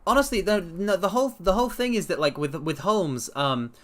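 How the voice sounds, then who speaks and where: A man giving an expressive dramatic reading of a story to viewers, with casual asides. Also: sits high, a little fast. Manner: expressive, animated.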